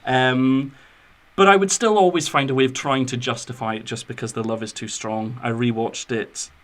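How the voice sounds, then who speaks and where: A man's voice: medium pitch, fairly even intonation, normal speed, a bit bright. A man hosting a film podcast, moving between scripted biography and chatty, wry asides. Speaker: podcast host.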